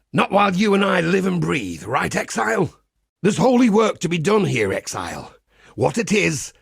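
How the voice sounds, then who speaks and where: Gravelly, zealous crusading holy warrior. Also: male voice, on the high side, fairly bright tone, dry recording, clean studio sound, heavily acted. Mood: grim resolve, then wry. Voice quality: gravelly, rasping. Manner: preacherly, blunt, wry, archaic turns of phrase. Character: zealous battle-hardened crusading holy warrior, grimly cheerful, foul-mouthed. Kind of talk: theatrical video game character dialogue.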